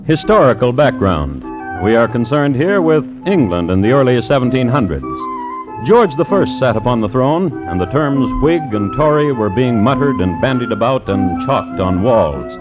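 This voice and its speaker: A man narrating as a wry crime storyteller, dry and deliberate, in 1950s radio style. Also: mid-range voice, very animated, natural voice. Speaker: host and narrator. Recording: muffled vintage radio recording. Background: dramatic music.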